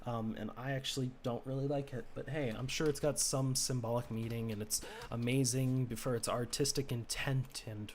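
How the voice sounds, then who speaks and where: A young man from the United States streaming a game, musing in a low-key, rambling way and keeping his voice lower than usual. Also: steady tone, moderate pace, few pauses. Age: teens. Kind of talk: casual chat while gaming.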